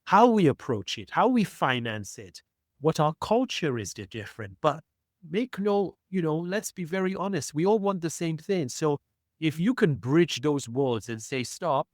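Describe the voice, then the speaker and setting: A man's voice: on the high side, lively intonation, moderate pace, no echo, studio quality. A man speaking as a guest on a podcast, earnest and persuasive, often repeating phrases as he builds a point. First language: not English. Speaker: guest author. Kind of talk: podcast conversation.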